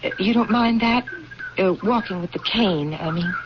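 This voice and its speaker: An actress playing a gracious, self-assured general's wife in an old-time radio drama, poised, genteel and firm. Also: female voice, mid-range voice, normal speed, natural voice. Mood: chatty, hospitable. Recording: muffled, noisy old radio broadcast recording.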